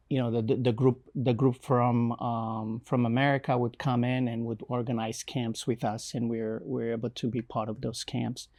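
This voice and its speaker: A young man from Romania with a Romanian accent, telling his life story in an interview with many pauses, fillers and restarts. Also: medium pitch, a little mellow. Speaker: missionary guest. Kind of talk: interview, personal testimony.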